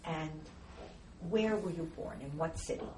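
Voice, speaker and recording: A woman's voice: low-pitched, measured pace, mellow. A woman interviewer asking brief, polite questions. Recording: roomy, distant off-mic voice with faint hiss.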